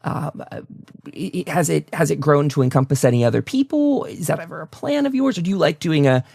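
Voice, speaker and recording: A man hosting a casual interview, enthusiastic and encouraging, with halting, stammered starts to his sentences. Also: fairly high pitch, expressive, creaky, a little mellow, no room sound, clean studio sound. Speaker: talk show host, interviewer.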